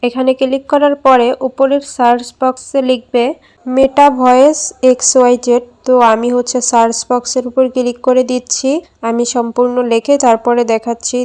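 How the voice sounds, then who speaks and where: Female tutorial host: raised pitch, flat delivery, informal step-by-step explaining. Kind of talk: casual step-by-step screen tutorial narration.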